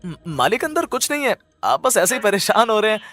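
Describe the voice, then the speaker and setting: A man's voice: high voice, big pitch swings, fairly quick, bright, forceful tone, soft background music, heavily acted. A man voicing a jealous, cringing village thief in a cartoon story, stammering and pleading. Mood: nervous, pleading. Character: sneaky, jealous village thief, nervous and cringing before authority. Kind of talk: Hindi cartoon story acting.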